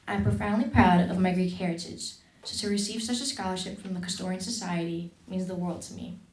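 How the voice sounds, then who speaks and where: A woman's voice: on the low side, brisk, reverberant, low-quality recording. A teenage girl reading a formal, earnest thank-you speech as a scholarship recipient at an event. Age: teens. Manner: formal, earnest, steady delivery. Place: event hall.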